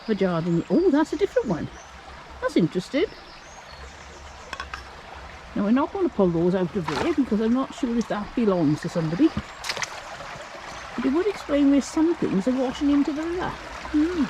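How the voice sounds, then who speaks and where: A woman's voice: fairly high pitch, lively intonation, a little fast, background noise. A woman giving casual, curious commentary while searching a stream bank, asking viewers what they think. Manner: curious, musing, asks viewers questions. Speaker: vlog co-host. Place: outdoors by a woodland stream.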